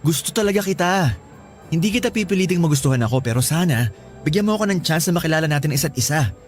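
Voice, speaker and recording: An earnest, bold young boyfriend, played by a male actor in a radio love drama. His voice sits fairly high and swings widely with feeling, expressive as he woos. Faint music plays behind him. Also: fast talker, close to an everyday voice. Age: young adult. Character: smitten, earnest young student suitor, pleading boyfriend. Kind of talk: Tagalog radio drama acting.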